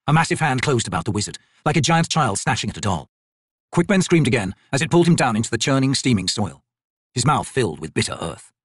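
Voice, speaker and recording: Husky, expressive male audiobook narrator. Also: mid-range voice, no echo, studio quality. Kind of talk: dramatic scripted fantasy narration.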